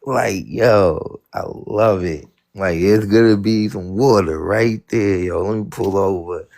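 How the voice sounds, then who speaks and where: A man's voice: lowish voice, slow pace, a little mellow. An American man from Atlanta, born in New York, chatting casually in an emphatic, slangy way with some swearing.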